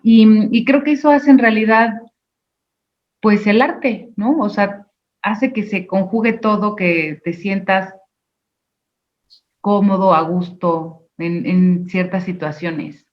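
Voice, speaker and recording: A woman hosting an interview over a video call, talking in a slow, reflective, agreeable way. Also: mid-range voice, fairly even intonation. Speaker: interview host. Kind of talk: casual interview chat. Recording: dull lo-fi video call audio.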